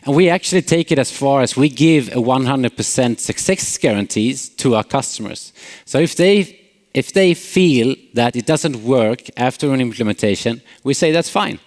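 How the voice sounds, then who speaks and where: A man's voice: medium pitch, lively intonation, normal speed, a little mellow, long reverb tail. A man from Sweden with a Swedish accent, giving a friendly conference keynote as a company CEO, with many filler uhs and light jokes. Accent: Swedish, Småland. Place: large conference hall.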